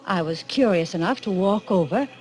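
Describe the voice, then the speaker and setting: A woman's voice: medium pitch, lively intonation, moderate pace, darkish tone, close to an everyday voice. An actress playing an anxious, troubled young woman in a 1940s radio drama, hesitant and full of dread as she tells her story. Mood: uneasy, haunted. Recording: old radio broadcast, music behind.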